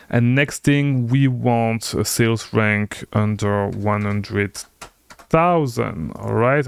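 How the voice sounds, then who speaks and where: Man, first language french, gravelly voice, dry close mic, screen-share tutorial, keeps asking okay?. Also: medium pitch, dry recording. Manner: repetitive, lots of "okay?" tags, energetic and insistent. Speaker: online seller coach.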